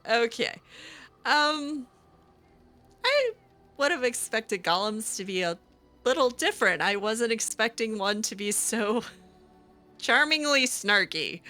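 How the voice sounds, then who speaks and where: Young woman gamer-roleplayer: bright, lively tone, hesitant, filler-heavy delivery. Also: on the high side, a little slow, long pauses. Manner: hesitant, lots of fillers, laughs easily. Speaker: let's-play roleplayer. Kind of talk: casual in-character game roleplay.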